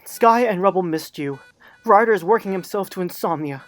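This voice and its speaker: A woman's voice: on the low side, animated, lightly acted. A woman voicing an earnest, hot-tempered male German shepherd pup in an emotional cartoon fan drama. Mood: desperate, pleading. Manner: intense, halting, emotional.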